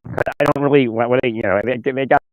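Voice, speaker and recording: A man with a slightly hoarse, raspy voice talking in a rambling, halting way, repeating words, chuckling often and asking "right?" as he speaks into his phone outdoors. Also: mid-range voice, fairly even intonation, no echo, lo-fi sound. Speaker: vlogger. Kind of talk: rambling casual monologue. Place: outdoors in winter.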